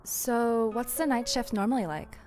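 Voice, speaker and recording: A woman as a playful, higher-voiced, teasing co-worker. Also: normal speed, close to an everyday voice. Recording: music bed behind the voice.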